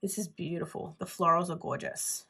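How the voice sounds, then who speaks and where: A woman's voice: lowish voice, fairly even intonation, normal speed, gravelly, sharp tone, a little hiss or hum. Sniffly, nasal woman chatting. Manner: chatty, rambling with "like" fillers. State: stuffy nose, sniffly. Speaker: planner vlogger. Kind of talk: casual haul video chat.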